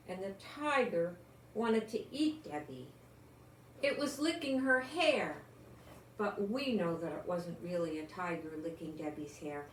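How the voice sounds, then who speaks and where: An older woman reading a children's picture book aloud, expressively, with gentle exclamations and asides to young listeners. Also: mid-range voice. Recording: echoey room with some background noise.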